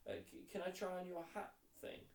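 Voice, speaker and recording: A man's voice: high-pitched, brisk, gravelly, voice pushed up. Man reading as an earnest young schoolboy, roomy sound. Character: sensitive young schoolboy footballer, eager to please. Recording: roomy echo, distant mic.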